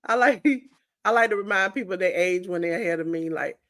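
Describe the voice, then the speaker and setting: A woman's voice: medium pitch, a little fast, fairly bright tone. A woman in her forties teasing a friend in a casual livestream chat. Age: 40s. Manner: teasing, laughing.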